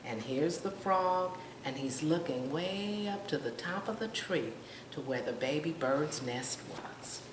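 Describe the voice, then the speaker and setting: Slow, low-pitched older woman reading to children. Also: expressive. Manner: asks young listeners questions, points out pictures. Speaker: storytime reader. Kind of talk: children's picture book read-aloud. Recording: roomy, distant mic, faint noise.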